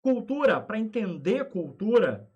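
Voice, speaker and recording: A man with a Brazilian Portuguese accent, teaching a class in a lively way and asking himself rhetorical questions. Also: sits high, a little slow, breathy edge, highs cut off. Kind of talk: lecture for students.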